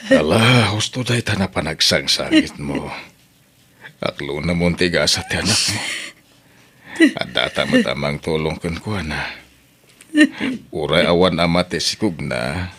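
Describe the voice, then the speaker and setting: A man playing a gentle, aging father in an Ilocano audio drama, speaking with an Ilocano accent in an earnest, fatherly way. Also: low-pitched, unhurried, long pauses, husky, heavily acted. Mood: stern. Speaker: father and grandfather in a family drama.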